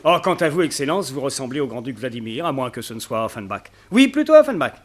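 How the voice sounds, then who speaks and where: Jovial mid-pitched mayor. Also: male voice, big pitch swings, fast talker. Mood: genial, teasing. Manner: exclaiming, courteous, wryly teasing. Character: jovial, admiring male city mayor. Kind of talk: French radio drama acting.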